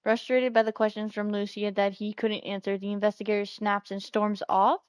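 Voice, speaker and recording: A woman's voice: mid-range voice, level tone, fairly quick, clear, resonant tone, dry recording. A woman streaming a game, playful and exclamatory as she reacts and chats with viewers.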